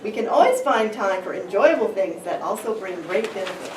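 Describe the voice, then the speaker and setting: A woman's voice: mid-range voice, lively intonation, brisk. Female presenter in her sixties reading to a classroom, echoey sound from a distant microphone. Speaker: workshop presenter. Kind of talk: scripted reading aloud to a class. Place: large classroom, indoors. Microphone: camera a few meters away. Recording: echoey room, far from mic, noisy.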